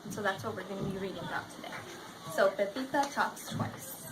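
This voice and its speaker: A woman's voice: mid-range voice, low-quality recording. A woman teaching a class, reading aloud expressively and putting questions to her pupils. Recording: faint background noise in a classroom.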